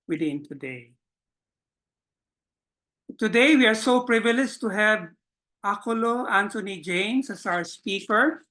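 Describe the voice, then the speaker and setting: A man hosting an online webinar, welcoming and introducing in a measured, courteous, formal way over a video call. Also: high voice, sharp tone. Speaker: webinar host.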